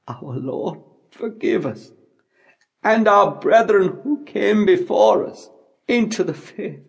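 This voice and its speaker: A man with a notably high-pitched voice reads scripture aloud for an audiobook. He goes slowly and solemnly, setting out each phrase in a measured, declamatory way. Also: gravelly. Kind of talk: solemn scripture recitation in English.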